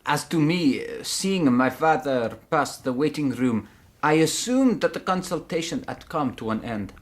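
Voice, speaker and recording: An actor in a radio drama playing a young Russian count's son, formal, deferential and measured. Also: male voice, on the high side, expressive. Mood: composed, explanatory. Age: young adult. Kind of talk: period radio drama acting.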